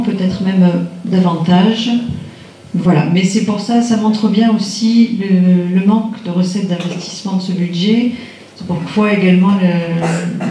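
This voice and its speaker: A man's voice: sits high, warm tone, slight background noise. A man presenting a budget at a council meeting, plainly reading figures with some hesitations. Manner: matter-of-fact, reads figures with hesitations. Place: large municipal function hall. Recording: echoey hall, mic far off.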